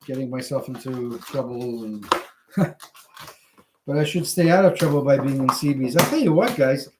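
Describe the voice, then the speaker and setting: A man in his fifties talks through a hobby demonstration in a casual, unscripted style. His delivery is halting and wanders from one aside to the next, at an average male pitch. It is a home recording with faint background noise. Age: 50s. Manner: rambling, halting, digressive. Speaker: hobbyist video host. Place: indoors at home.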